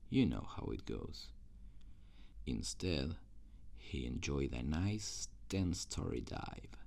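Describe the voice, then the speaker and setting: A man voicing a jaded, cruel demon guardian in an ASMR roleplay, with a dry, intimate, sardonic manner and a gravelly voice. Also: sing-song, slow, a little mellow, heavily acted. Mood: smug, boasting. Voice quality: very deep, gravelly.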